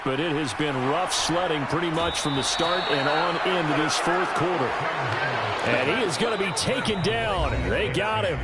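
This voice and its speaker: Loud game noise surrounds an American play-by-play announcer voiced by a man. His voice sits a little high for a man. He calls football action briskly and with a sense of drama, in polished broadcast style. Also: natural voice. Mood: excited. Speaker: play-by-play sports commentator in a video game.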